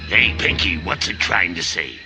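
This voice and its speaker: An actor playing a gruff, sardonic crab creature in a full-cast fantasy audio drama. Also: male voice, deep voice, lively intonation, moderate pace, loud music behind the voice. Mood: wary.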